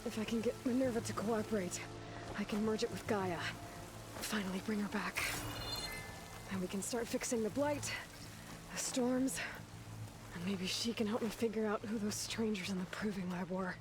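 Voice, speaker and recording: A woman's voice: mid-range voice, moderate pace, noisy background, natural voice. A young woman playing a determined, thoughtful game adventurer, speaking earnestly as if working things out. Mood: musing, determined. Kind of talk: naturalistic video game dialogue. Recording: loud music behind.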